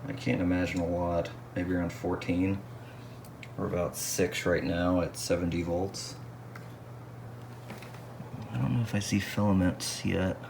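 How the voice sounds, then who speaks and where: A man's voice: deep voice, steady tone, a little slow, long pauses. A man giving casual hands-on repair commentary, thinking aloud in a hesitant way as he works. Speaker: radio restoration hobbyist. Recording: clear background noise.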